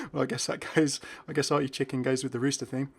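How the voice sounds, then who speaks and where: A man's voice: mid-range voice, fairly even intonation, moderate pace, gravelly, fairly bright tone, breathy edge. A man giving casual, enthusiastic game commentary in an informal, chuckly way. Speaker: video creator presenting game content.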